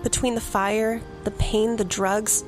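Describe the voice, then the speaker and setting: An actress playing a young police officer torn between love and duty, tender yet firm. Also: female voice, on the high side, flat delivery, a little slow, over music, lightly acted. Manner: tender, then firm and commanding.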